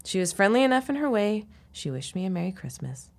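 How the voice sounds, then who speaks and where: An actress playing a wry, stubborn young shopkeeper's daughter with a sardonic, quick tongue in a period audio drama. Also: female voice, mid-range voice, big pitch swings, a little mellow. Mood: exasperated, sardonic. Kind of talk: period radio-style audio drama acting.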